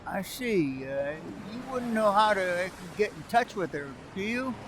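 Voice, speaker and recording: A man's voice: high voice, lively intonation, a little slow, noisy background. A man playing a genial, patronizing older businessman in a vintage-style radio comedy, stammering and hesitant in an avuncular way. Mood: sheepish.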